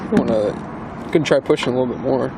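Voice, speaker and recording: A man's voice: medium pitch, fast. A man chatting casually as he films and helps a friend in or beside a car. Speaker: friend filming and helping. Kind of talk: casual chat. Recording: loud vehicle and outdoor background noise.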